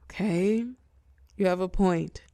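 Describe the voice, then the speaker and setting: A fallen heroine turned telepath villain, acted by a woman, speaks close and dry in a lowish, crackling voice. She is weary and wry, tender with an edge of menace, pausing for effect as in an intimate audio drama. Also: fairly even intonation, warm tone, no room sound. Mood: reluctant, resigned. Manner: soothing pet names, wry bitterness, dramatic pauses, drops into whispers. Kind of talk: intimate scripted audio roleplay monologue.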